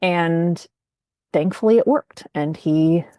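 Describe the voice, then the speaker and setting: A woman's voice: fairly low pitch, slow, warm tone, smooth, dry recording, soft background music. A woman telling a personal story as a podcast guest, emphatic and acting out her own remembered words. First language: English.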